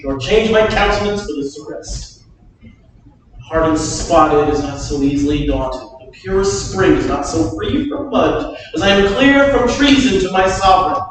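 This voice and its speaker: Man reading wronged old duke, slow declamatory verse, echoey far-off hall, faint noise. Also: high voice, expressive. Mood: indignant. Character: older noble duke, proud, wronged. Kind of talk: staged Shakespeare reading.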